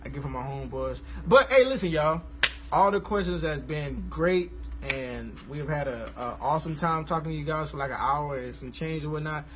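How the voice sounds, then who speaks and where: A man's voice: fairly high pitch, normal speed. Laid-back young man chatting on a live stream. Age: 20s. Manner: relaxed, rambling, slangy and upbeat. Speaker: gospel musician hosting a live stream. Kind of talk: casual live-stream chat.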